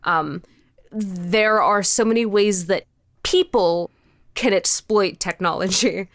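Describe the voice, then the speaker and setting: A woman's voice: medium pitch, takes it slowly, fairly bright tone, no room sound, studio quality. A woman chatting casually as a podcast guest, ranting in a sweary, exasperated way. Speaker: podcast interview guest, audio fiction creator. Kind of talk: casual animated interview chat.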